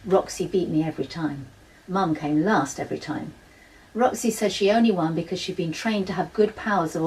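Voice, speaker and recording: A woman's voice: medium pitch, darkish tone, far from the mic, close to an everyday voice, low-quality recording. A woman reading a children's audiobook as an earnest, quirky primary-school child telling a diary in the first person. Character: schoolchild Joe, earnest, quirky Year 6 diarist.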